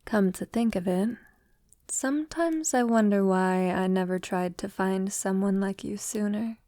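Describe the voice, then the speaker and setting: An ancient, elegant vampire played by a woman speaks to her lover in an intimate audio roleplay. Her delivery is slow, soft and tender, drifting often into near-whisper. She is a refined, affectionate woman with formal phrasing, heard in a very dry sound. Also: medium pitch, dry recording, natural voice. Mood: reflective, wistful. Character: affectionate centuries-old female vampire lover, refined and vulnerable.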